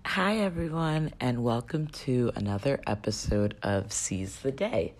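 Slightly raspy woman hosting a podcast. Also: a bass voice, unhurried, darkish tone, soft background music. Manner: chatty, poses questions to listeners. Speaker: podcast host. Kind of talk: solo podcast monologue.

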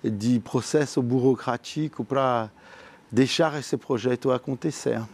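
French man, mellow, halting visionary. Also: mid-range voice. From France. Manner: earnest, visionary, halting with many fillers and repeated words. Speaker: entrepreneur. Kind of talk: interview answers.

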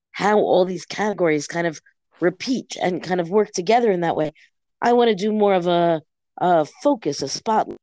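A hoarse woman who has lost her voice, teaching in a study session and carefully restating each term in plain words. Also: mid-range voice, darkish tone, no echo, poor audio.

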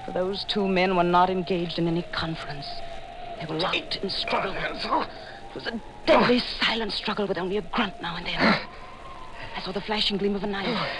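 A woman's voice: medium pitch, moderate pace, heavy background noise, close to an everyday voice. An actress playing an intense, thrill-hungry lady novelist, narrating dramatically in 1940s radio suspense style. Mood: tense, excited. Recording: old 1940s radio broadcast, music behind.

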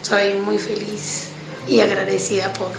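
A woman's voice: medium pitch, completely flat delivery, a little slow, creaky, noisy background. A woman giving a short, grateful, reflective testimonial, recorded with an echoey, roomy sound.

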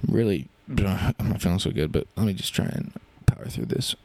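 A man co-hosting a comedy podcast, talking in a deadpan, rambling way full of fillers. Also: low voice, fairly quick, dry recording. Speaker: podcast co-host. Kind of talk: improvised comedy banter.

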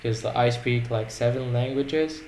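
A man's voice: mid-range voice, steady tone, measured pace, a little mellow, a little hiss or hum. A man whose first language is Arabic, talking in a rambling, reflective way with many restarts in a casual conversation.

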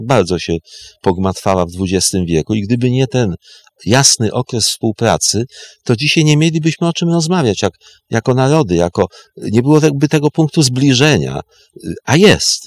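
A man explaining history off the cuff as a historian, emphatic and halting, often correcting himself and repeating phrases. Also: medium pitch, expressive, no echo. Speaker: historian, interview guest. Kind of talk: spoken historical explanation, unscripted.

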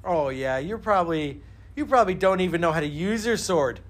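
A storyteller acts out a wry Zen master from a folk tale, mild and unbothered. He teases without hurry, with a lively, moving pitch. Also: natural voice. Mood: mocking. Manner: mild, mocking, unhurried. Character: calm, teasing old male Zen master, unhurried and wry.

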